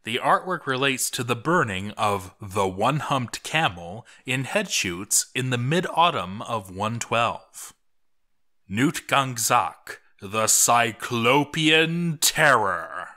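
A man reading a written chronicle aloud as a narrator, with deliberate, theatrical emphasis on names. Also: medium pitch, sing-song, bright, forceful tone. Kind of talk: scripted narration, dramatic read-aloud.

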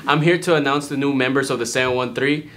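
A young man hosting a casual group chat on a living room couch, crude and quick to laugh. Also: medium pitch. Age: young adult. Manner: laughs often, crude joking. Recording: loud background noise.

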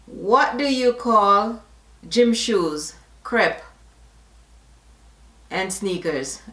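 A middle-aged woman from Jamaica with a Jamaican accent, reading a word list and questions aloud in a casual vlog, slow and deliberate, slipping into patois asides. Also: mid-range voice, expressive, pushed and bright, echoey room. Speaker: vlogger. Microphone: camera facing her at close range.

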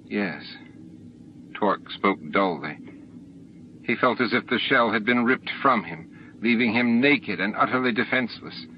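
A man's voice: fairly low pitch, lively intonation, normal speed. Male audiobook narrator, dull recording. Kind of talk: scripted narration of a novel. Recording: dull, faintly noisy recording.

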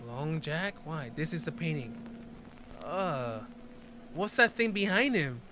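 A man's voice: fairly high pitch, lively intonation, slow, noisy background. A man in his twenties reacting casually to a video, reading text aloud and commenting in informal, slangy speech.

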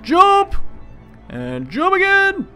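A man doing casual, self-deprecating let's play commentary in an excitable manner. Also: very high-pitched, very slow, soft background music. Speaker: video game let's player.

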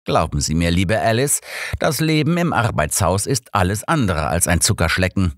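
A man acts out an old, sharp-minded detective in a storybook reading. He is sardonic and pointed, lecturing with animated intonation. The sound is close and dry. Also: sits low, moderate pace, no echo. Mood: grave, lecturing. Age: older. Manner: pointed, lecturing, dryly persuasive.

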